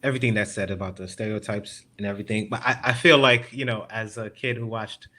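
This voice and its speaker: Low bright-toned casual man. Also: steady tone, quick pace. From United States. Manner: casual, hesitant with many fillers. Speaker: panelist. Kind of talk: panel discussion.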